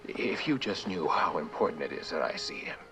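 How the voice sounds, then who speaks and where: Insistent, deep-voiced professor, swooping pitch. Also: male voice, very animated, a little fast, music in the background. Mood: anxious, pleading. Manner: earnest, pressing. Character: insistent, anxious professor seeking an old college friend. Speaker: actor. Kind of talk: mid-20th-century suspense drama acting.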